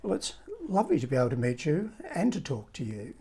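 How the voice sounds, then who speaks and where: Woman podcast host, strikingly low voice, very breathy and husky. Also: big pitch swings, mellow. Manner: measured, halting questions. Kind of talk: podcast interview.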